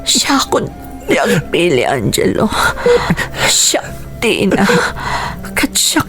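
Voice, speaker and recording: An actress playing a frail, determined pregnant wife in a radio melodrama, weak, breathy and tearfully pleading. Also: female voice, mid-range voice, wildly swooping pitch, slow, a bit bright, full character voice. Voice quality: breathy, whispery, sobbing. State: crying, weak. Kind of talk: melodramatic Ilocano radio drama acting. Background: background music.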